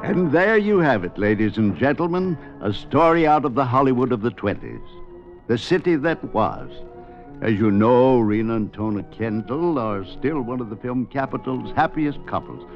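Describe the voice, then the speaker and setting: An actor playing an urbane, gossipy Hollywood storyteller, narrating a 1950s radio drama. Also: male voice, mid-range voice, animated, moderate pace, highs cut off, faint music behind, lightly acted. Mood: genial, wry. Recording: band-limited 1950s radio recording.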